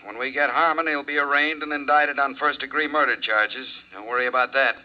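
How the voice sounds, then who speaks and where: An actor playing a matter-of-fact, assured police sergeant in a 1950s radio drama, plain-spoken, with an American accent. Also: male voice, mid-range voice, steady tone, fairly quick, natural voice. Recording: dull 1950s radio recording.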